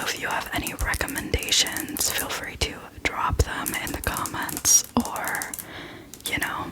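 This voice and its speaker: A woman hosting a casual whispered ASMR book chat, speaking in a soft, breathy whisper with a hesitant, self-deprecating manner. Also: poor audio. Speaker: book video host.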